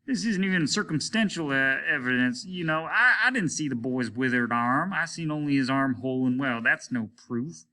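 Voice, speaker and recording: A man reading a story, voicing a domineering, scornful spaceship captain in a loud, commanding, condescending manner. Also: mid-range voice, animated, normal speed, few pauses, voice pushed up. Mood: scornful, impatient. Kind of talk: dramatic audiobook reading.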